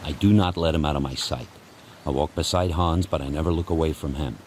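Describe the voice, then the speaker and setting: Deep, solemn, devoted dog. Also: male voice, a little mellow. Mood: watchful, intent. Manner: solemn, terse, incantatory delivery. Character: devoted, intense sled dog narrating his thoughts. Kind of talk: first-person radio drama narration. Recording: noisy rushing ambience behind voice.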